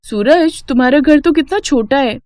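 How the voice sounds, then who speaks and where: A woman's voice: high-pitched, normal speed, darkish tone, no echo, clean studio sound, voice pushed up, close to an everyday voice. A woman voicing a young city-bred daughter-in-law in a cartoon, earnest and outspoken, speaking politely and eagerly. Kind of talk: Hindi moral-story cartoon acting.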